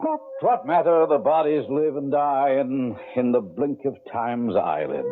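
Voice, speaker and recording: An actor playing an urbane, condescending devil in the guise of a genial old man, in a theatrical radio drama style. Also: medium pitch. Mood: gleeful musing. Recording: tinny, narrow-band old radio broadcast.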